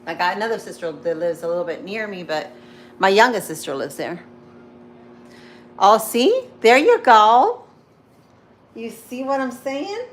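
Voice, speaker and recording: A woman's voice: medium pitch, big pitch swings, a little slow, pauses often, slight background noise. A woman in her thirties with a Spanish-influenced accent, hosting a casual morning livestream and chatting with viewers while she cooks. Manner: chatty, rambling, answers viewer comments as she goes. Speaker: livestream host.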